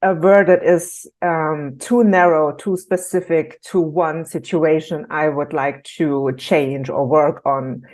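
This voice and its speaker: Woman, low voice, slow pace, hesitant, casual conversation. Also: steady tone, darkish tone. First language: German. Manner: thoughtful, hesitant with many ums. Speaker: workshop team member. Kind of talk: casual video-call conversation.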